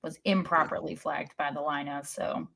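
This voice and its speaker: A woman's voice: low voice, little pitch movement, normal speed, creaky, a bit bright, husky. A woman co-hosting a casual sports podcast, talking with wry, sarcastic asides. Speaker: podcast co-host.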